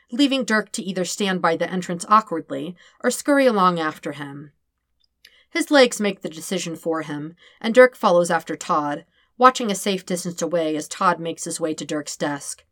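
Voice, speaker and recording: A woman reading fan fiction aloud as an expressive narrator. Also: fairly low pitch, moderate pace, no echo, clean studio sound. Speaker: podfic reader.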